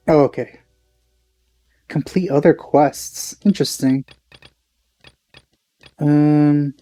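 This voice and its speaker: A man's voice: mid-range voice, dark tone, no room sound. A man giving casual gameplay commentary as a let's play host.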